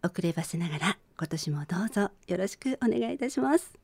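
A woman hosting a gentle bedtime radio chat, speaking politely and conversationally straight to her listeners. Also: mid-range voice, animated, fast, vocal fry, no echo. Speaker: radio show host and band vocalist.

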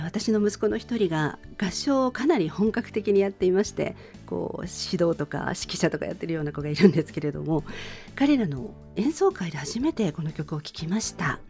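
A middle-aged woman hosting a radio show, talking in a soft-spoken way as she introduces a song. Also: lowish voice.

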